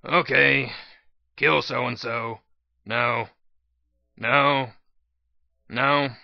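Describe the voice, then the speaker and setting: A man's voice: mid-range voice, very slow, gravelly, no room sound. Man voicing a sardonic, weary male pony, slightly muffled. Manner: sardonic, deadpan.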